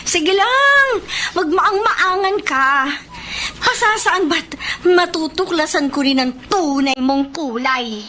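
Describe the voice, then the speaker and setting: Haughty, high-pitched young wife. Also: female voice, lively intonation, slow, pushed and bright, slight background noise, heavily acted. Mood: accusing, bitter. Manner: haughty, confrontational. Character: spoiled, suspicious, sharp-tongued young wife and mother. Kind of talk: radio drama acting.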